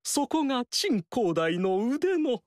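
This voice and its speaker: Brief: vain, scheming male strategist for a game; big swooping delivery, unhurried, dry studio sound. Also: very high voice, sing-song, no room sound, heavily acted. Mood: boastful. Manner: boastful, theatrical, swings loud and soft. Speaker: game character voice. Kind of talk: Japanese game dialogue acting. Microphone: dry studio mic.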